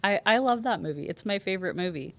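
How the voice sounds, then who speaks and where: A woman's voice: medium pitch, expressive, fast, gravelly, fairly bright tone. A woman in her forties, congested, chatting casually as a podcast co-host. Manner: chatty, laughs easily. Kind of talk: casual chat.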